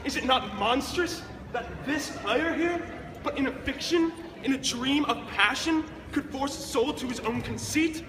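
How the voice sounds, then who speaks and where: A man's voice: very high-pitched, a little slow. A young man performing an agitated, self-loathing prince in a declamatory Shakespearean stage monologue. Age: teenager. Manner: declamatory, swinging to shouts. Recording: echoey courtyard, crowd and noise.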